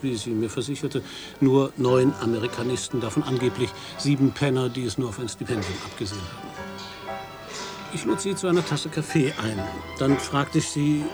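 A man's voice: medium pitch, natural voice. An actor playing a polite, slightly hesitant male American radio reporter who narrates a radio play. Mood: narrating. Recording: radio play with music behind.

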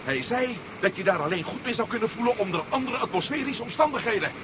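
An actor playing an earnest, doubting hero in a 1970s science fiction radio play. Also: male voice, high-pitched, animated, fast talker. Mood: agitated. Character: earnest, doubting male hero, friend of the general. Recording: dull, noisy 1970s radio drama recording.

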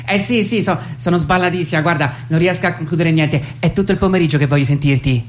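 A woman's voice: sits low, fast talker, slight background noise, close to an everyday voice. An actress playing an effusive, hesitant young woman in a comic radio phone dialogue. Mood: flustered, eager. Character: gushing young woman tenderly declaring non-love.

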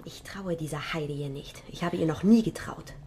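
A woman's voice: deep voice, a little fast, background noise, close to an everyday voice. An actress playing a wary, commanding young woman in mourning in a German radio play, secretive and often near whispering. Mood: distrustful.

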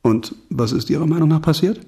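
An actor playing a dogged, laconic investigator in a German radio crime drama, speaking in a terse, understated way. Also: male voice, fairly high pitch, a little fast, creaky, warm tone, breathy edge. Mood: terse, pressing.

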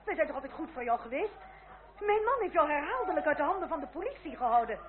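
A woman's voice: high voice, sing-song, fast. A woman playing a helpless, fretful aristocratic lady in an old radio drama, theatrical and exclamatory in her distress. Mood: shocked. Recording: tinny old radio recording, loud noise.

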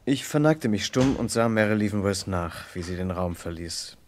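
An actor playing a composed first-person narrator of a murder inquiry in a vintage German radio crime drama. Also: male voice, lowish voice, animated, normal speed, slight background noise, natural voice.

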